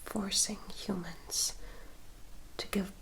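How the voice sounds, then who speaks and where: A woman playing a composed, caring vampire attendant in an ASMR roleplay, whispering softly and formally as she gives careful guidance like an older sister. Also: mid-range voice, steady tone, low-quality recording. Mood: hushed, cautioning. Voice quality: breathy, soft whisper. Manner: slow, measured, formal, gently instructive.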